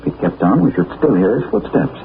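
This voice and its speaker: An actor playing a calm, thoughtful gentleman detective captain, in 1940s radio acting. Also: medium pitch, fast, gravelly. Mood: watchful. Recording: tinny old radio broadcast with faint hiss.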